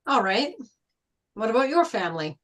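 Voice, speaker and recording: A woman playing a skeptical, dismissive high school boy in a table read of a film script. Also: very animated, moderate pace, fairly bright tone. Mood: scornful, challenging. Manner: challenging, dismissive.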